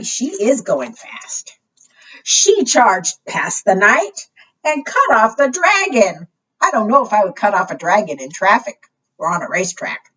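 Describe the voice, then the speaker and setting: A woman hosting a preschool storytime, reading picture books aloud in an animated, expressive way and putting simple questions to young listeners. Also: medium pitch. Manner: asks young listeners questions, simple child-directed phrasing; animated, expressive with gasps and exclamations. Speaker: library storytime host for preschoolers. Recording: muffled, distant microphone.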